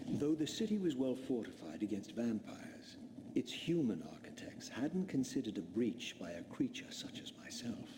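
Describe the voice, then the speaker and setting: An actor playing a bitter, proud undead vampire in a video game, with a theatrical, eloquent, brooding delivery. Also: male voice, mid-range voice, sing-song, normal speed, background noise, natural voice. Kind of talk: scripted video game acting.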